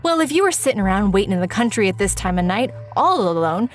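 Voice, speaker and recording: A woman's voice: medium pitch, sing-song, fast, soft background music, natural voice. A woman playing a weary writer in an audio drama, musing and confiding in the listener with wry, self-mocking asides. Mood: defensive, sheepish.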